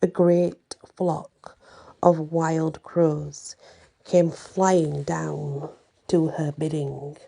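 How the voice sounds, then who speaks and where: A woman reading a story aloud in an ASMR bedtime style, with halting, deliberate phrasing. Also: sits low, little pitch movement, gravelly, dark tone.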